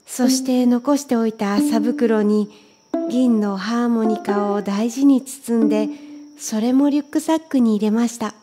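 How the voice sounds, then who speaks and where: A woman's voice: a little high, steady tone, measured pace, music in the background. A woman reading a children's story aloud as scripted narration. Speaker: storybook reader.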